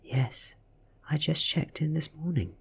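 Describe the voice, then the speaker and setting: A British man voicing a composed ancient female vampire posing as a schoolgirl, hushed and breathy, in a soft storybook reading. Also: a little high, measured pace, pitched above the performer's own voice, exaggerated voice.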